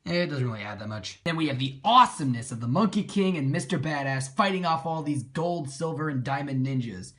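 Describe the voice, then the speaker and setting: A man delivers scripted comedic review narration as the host. His pitch moves around a lot, and his commentary is sarcastic with some mild swearing. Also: expressive, a little fast, few pauses, sharp tone. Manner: sarcastic, mildly profane. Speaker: comic book reviewer and host.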